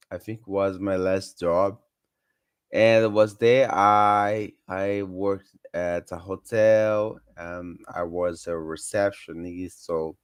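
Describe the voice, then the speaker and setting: Man, 30s, low-ish voice, halting learner's English, encouraging vlog tone. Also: smooth, dry recording, clean studio sound. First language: Portuguese. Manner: hesitant, many fillers and self-corrections, encouraging. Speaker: vlogger sharing language tips. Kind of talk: casual unscripted talk to camera.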